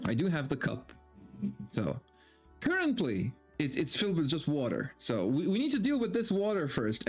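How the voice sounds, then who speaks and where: Animated male streamer, faint music behind. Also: on the high side. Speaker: streamer reading aloud for viewers. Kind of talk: casual livestream chat and dramatic reading of a text.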